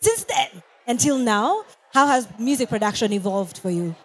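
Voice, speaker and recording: A woman's voice: fairly high pitch, sing-song, moderate pace. A woman hosting an interview, playful and teasing. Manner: playful, teasing, mixes in local slang. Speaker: host. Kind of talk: casual interview chat.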